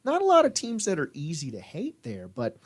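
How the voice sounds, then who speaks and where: Male podcast host, bright and animated, swings his pitch a lot. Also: a little fast. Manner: animated, repeats words while steering the talk. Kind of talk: casual sports podcast chat.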